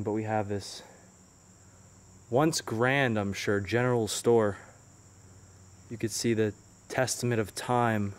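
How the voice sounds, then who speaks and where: A young man filming himself as he explores a ruined town, narrating what he finds in a curious, hushed way. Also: lowish voice. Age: young adult. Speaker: urban explorer vlogger. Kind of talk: walking exploration commentary. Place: outdoors and inside ruined buildings. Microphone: handheld camera held at arm's length.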